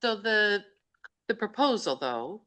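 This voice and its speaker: A woman council member with an emphatic, forceful way of talking, pressing points in a council workshop. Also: medium pitch, big pitch swings, slow. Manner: forceful, emphatic, self-described big voice.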